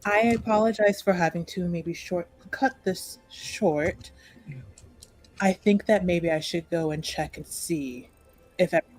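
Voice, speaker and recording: A woman's voice: fairly low pitch, soft background music. Woman playing a courteous, devout female attendant. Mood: apologetic, grateful. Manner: courteous, formal, measured. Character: polite, devout young female noble house attendant. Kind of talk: improvised tabletop roleplay.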